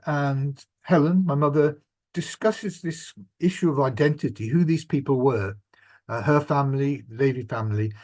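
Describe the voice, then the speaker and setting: Measured older man giving a talk. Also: medium pitch, darkish tone. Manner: measured, reflective, with fillers. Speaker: presenter and author. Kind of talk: illustrated family history talk.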